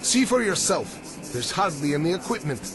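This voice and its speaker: Animated, high-pitched gruff repairman. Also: moderate pace, background noise. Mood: grumbling. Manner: colloquial, swearing, grumbling. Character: gruff, griping male repairman in a post-apocalyptic camp. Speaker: mechanic character. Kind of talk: video game character dialogue. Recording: music behind the voice.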